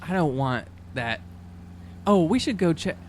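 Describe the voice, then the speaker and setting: Man, tabletop player, slightly high voice, lively intonation, dry teasing chat, faint noise behind. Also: unhurried. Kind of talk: casual chat.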